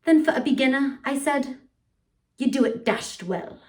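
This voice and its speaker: Woman doing a blustering upper-class English gentleman. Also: sits high, moderate pace, natural voice. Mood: pompous rambling. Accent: put-on upper-class British. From United States. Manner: rambling, blustering, grandiloquent speechmaking. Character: tipsy, pompous upper-class English gentleman giving a speech. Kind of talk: theatrical monologue performance. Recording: voice from far off the mic.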